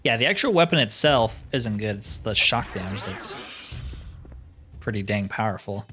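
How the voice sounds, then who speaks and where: A man's voice: on the low side, lively intonation, moderate pace, bright, forceful tone. A man giving casual let's play commentary, musing and asking himself questions as he goes. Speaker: host of a gaming roleplay series. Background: music behind the voice.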